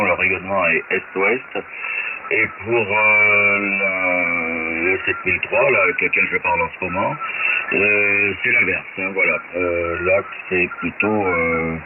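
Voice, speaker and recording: A man speaking as an amateur radio operator in a casual technical chat, hesitant and thinking aloud, over a shortwave transmission. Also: deep voice. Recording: very noisy narrow-band shortwave radio. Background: loud radio static.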